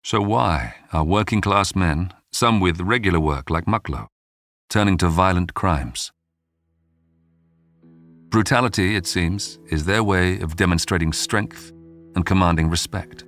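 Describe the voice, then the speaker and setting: A man narrating a true-crime history documentary in a scripted, dramatic storytelling style with measured delivery. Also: sits low, fairly bright tone, no room sound, soft background music.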